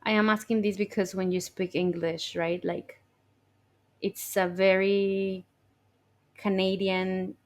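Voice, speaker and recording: A woman's voice: medium pitch, flat delivery, slow. A woman in her twenties co-hosting a podcast in casual chat, asking curious questions and affirming often. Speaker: podcast co-host.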